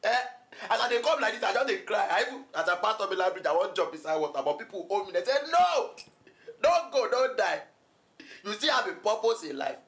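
A man's voice: high-pitched, very fast, hardly any pauses, a bit bright. A Nigerian man acting in a Pidgin screen drama as a broke, comically dramatic friend who makes excuses in a repetitive, pleading way. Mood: dramatic, self-pitying. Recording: echoey, reverberant room.

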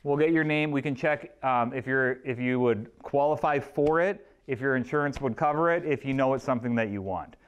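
Male, 30s, chiropractor presenting to a small group, smooth clear voice, relaxed and rambling. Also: mid-range voice, brisk, hardly any pauses, lo-fi sound. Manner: friendly, loose, many restarts and fillers. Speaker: chiropractor leading a workshop. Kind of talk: informal presentation with audience questions. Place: small clinic room with a seated audience. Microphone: room camera at a distance.

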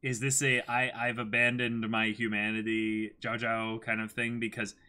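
A man in his twenties giving animated reaction commentary and dramatic reading on a stream, in an excitable, exclaiming manner. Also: lowish voice, normal speed, clean tone. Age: 20s. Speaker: streamer reading comics aloud.

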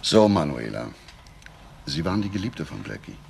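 A patient chief inspector in a radio crime play. His voice is very low and creaky, with lively swings in pitch. He questions politely and firmly, over slight background noise. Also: full character voice. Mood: probing. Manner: measured, polite, probing. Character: patient, probing male police chief inspector. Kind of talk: German radio crime drama acting.

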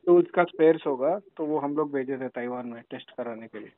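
A man in a casual phone conversation as a customer, talking informally and haltingly and repeating himself. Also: mid-range voice, dry recording. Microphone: phone call. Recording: tinny narrowband phone line.

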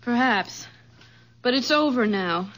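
An anxious, sympathetic young woman in a radio mystery. Her voice is high and earnest, over clear background noise. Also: animated, slow pace, a bit bright. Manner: earnest, uneasy. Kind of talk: radio mystery drama acting. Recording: noisy, with background ambience.

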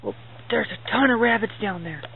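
A young man with a fairly high voice talks through a night hike, excited and chatty as he spots animals. The sound is thin and tinny, like a cheap handheld camera mic. A faint hiss sits under the whole outdoor recording. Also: moderate pace. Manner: excited, chatty, mild minced oaths. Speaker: hiking vlogger. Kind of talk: hushed running commentary while filming.

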